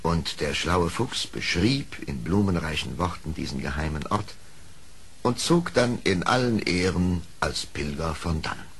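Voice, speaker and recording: A man's voice: low voice, animated, a little slow, vocal fry, slightly rough. A man narrating an audio play as a dramatic storyteller, reading from a script. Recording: faint hiss of old record.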